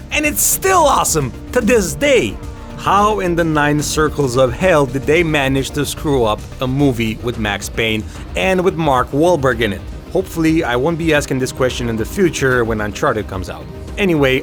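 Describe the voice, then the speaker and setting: A man narrating an opinionated video essay as host, with a sarcastic, emphatic way of talking. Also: medium pitch. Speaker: video game commentary host. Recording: music behind the voice. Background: background music.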